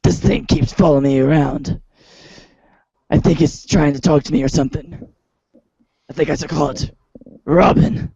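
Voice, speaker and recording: A man voicing a gruff, self-serious superhero with a gravelly, husky rasp in a pompous, melodramatic parody style. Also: a little high, fairly even intonation, normal speed, pauses often, dark tone, dry recording, lo-fi sound. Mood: brooding. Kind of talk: read-along storybook parody acting.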